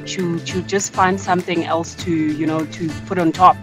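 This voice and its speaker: A woman calls in to a radio show with a lowish voice and tells a story haltingly. A music bed plays underneath. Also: fairly even intonation. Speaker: caller. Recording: phone call into radio with music.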